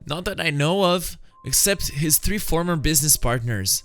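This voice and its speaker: A man's voice: a little high, expressive, normal speed, a bit bright, faint music behind. A man voicing a shaken young man accused of murder in a comic-book drama, explaining himself earnestly.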